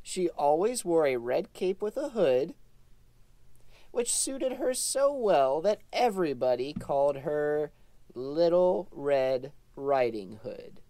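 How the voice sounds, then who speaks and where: Prim puppet dog storyteller, voiced by a woman: unhurried, expressive, easily exasperated, children's show. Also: deep voice, no room sound, exaggerated voice. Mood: patient storytelling.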